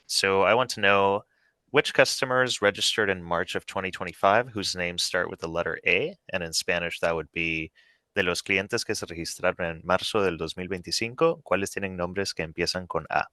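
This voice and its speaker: Man from El Salvador, software engineer, relaxed, very low voice, dry sound. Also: a little fast, no echo. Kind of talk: casual chat on video call.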